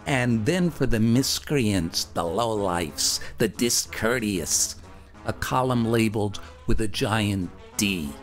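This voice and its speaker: A man narrating a scripted first-person memoir like a radio storyteller, theatrically, drawing out words for emphasis. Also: on the low side. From Brooklyn, New York, United States.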